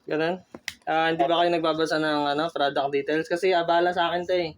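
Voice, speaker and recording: A Filipino man speaks as a customer on a phone call, in a slightly high voice with little rise and fall. His delivery is halting and insistent as he complains. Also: level tone, a little hiss or hum. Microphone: telephone.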